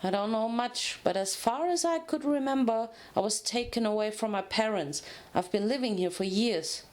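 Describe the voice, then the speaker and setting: A woman's voice: a little high, moderate pace, a little mellow, lightly acted. A woman voicing a small, innocent young male baby dragon with a plain, childlike way of talking in a story reading. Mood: shy, matter-of-fact.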